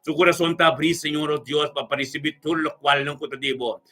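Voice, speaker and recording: A man's voice: fairly high pitch, steady tone, fairly quick, sharp tone. A man leads an extemporaneous charismatic prayer, fervently repeating his invocations in a chant-like way. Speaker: prayer leader.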